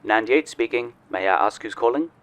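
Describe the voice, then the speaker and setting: A man's voice: mid-range voice, animated, moderate pace, very noisy, close to an everyday voice. A man playing a genial, wry researcher in a sci-fi audio drama, speaking politely and a little formally, with playful touches. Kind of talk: audio drama acting, conversational.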